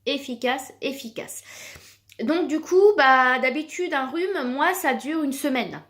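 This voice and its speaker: A woman's voice: sits high, animated, slow, fairly bright tone. A woman with children, chatting casually while demonstrating a cream she sells, full of fillers and repeated words. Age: adult with children. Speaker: product seller vlogger.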